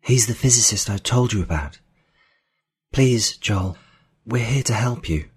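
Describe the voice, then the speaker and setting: A man's voice: lowish voice, expressive, a little slow, breathy edge, pitched above the performer's own voice, heavily acted. A man voicing an earnest woman in an audiobook, pleading and anxious to explain herself.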